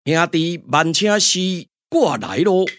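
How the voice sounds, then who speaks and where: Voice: medium pitch, very animated, slow, heavy background noise. A performer with a Taiwanese accent voicing a stately male general in a glove-puppet radio drama, declaiming theatrically.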